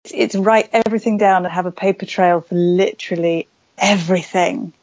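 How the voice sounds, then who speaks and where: A woman's voice: lowish voice, steady tone, normal speed, a little mellow, no echo, muffled recording. A woman from West Wales, an author, talking casually and at length as a podcast guest, with many fillers. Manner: chatty, rambling with fillers. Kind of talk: casual interview chat.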